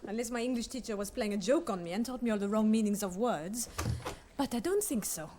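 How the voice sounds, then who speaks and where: An actress playing a witty young foreign woman with a crisp, teasing manner who fends off a suitor. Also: a little high, lively intonation, a little fast, background noise, close to an everyday voice.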